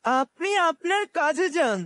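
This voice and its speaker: Man voicing a very high-pitched earnest young man. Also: expressive, a little slow, pushed and bright, dry recording, heavily acted. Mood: earnest, reassuring. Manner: polite, earnest, reassuring. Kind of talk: cartoon dubbing.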